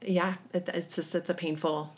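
A woman hosting a conversation, gentle and appreciative as she asks questions. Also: lowish voice, little pitch movement, moderate pace. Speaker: host. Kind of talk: interview conversation.

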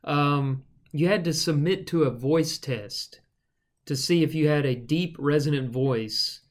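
A man with a thin, reedy voice, co-hosting a podcast and explaining Bible passages in a thoughtful, unhurried way, with frequent fillers. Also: on the high side. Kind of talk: casual teaching conversation.

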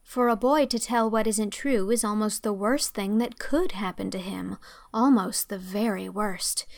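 A woman voicing an earnest, imaginative young woman who gently scolds a child. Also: mid-range voice, unhurried, vocal fry, no room sound, clean studio sound.

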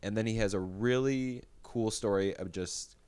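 A man hosting a podcast with a deep, low voice. He talks in an earnest, reflective way, introducing a guest and sharing his thoughts. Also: moderate pace, a little mellow, clear, resonant tone. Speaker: podcast host. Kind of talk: podcast intro and casual chat.

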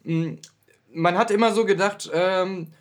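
A man's voice: high voice, a little slow. A man co-hosting a podcast in a casual, conversational film discussion. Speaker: podcast co-host.

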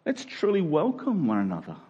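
A man's voice: a little high, huge pitch swings, fairly quick, a little mellow, breathy edge, dull audio. A man with an Australian accent preaching as a pastor, earnest and conversational, often posing questions to his listeners. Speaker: church pastor. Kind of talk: sermon.

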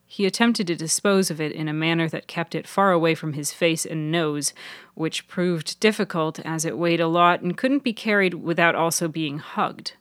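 A woman reading scripted fiction as narrator, measured and articulate. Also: deep voice, fairly bright tone, no room sound, studio quality. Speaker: author and narrator.

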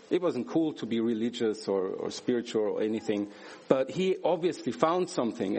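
A man's voice: mid-range voice, a little mellow, slightly rough, rough recording. Earnest man preaching in church. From Switzerland. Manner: earnest, emphatic, with rhetorical questions. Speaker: guest preacher. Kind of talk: sermon with personal testimony.

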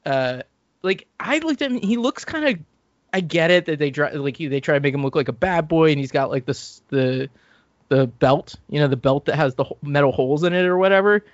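A man's voice: a little high, animated, brisk, no echo, muffled recording. A man hosting a podcast, recapping TV in casual, rambling, profane banter. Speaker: podcast host.

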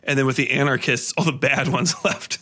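Bright, forceful man in casual podcast chat. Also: medium pitch, brisk. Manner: sweary, informal, rambling. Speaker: podcast host.

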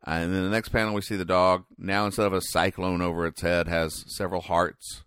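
Male comedy podcast host, deep voice, halting deadpan explainer, close dry recording. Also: clear, resonant tone, dry recording. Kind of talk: comic commentary describing newspaper comic strips.

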